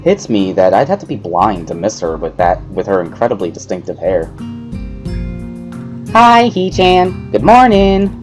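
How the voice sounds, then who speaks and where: A man narrating a first-person visual novel story as an expressive dramatic reading. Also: low-pitched, a little fast, few pauses, soft background music.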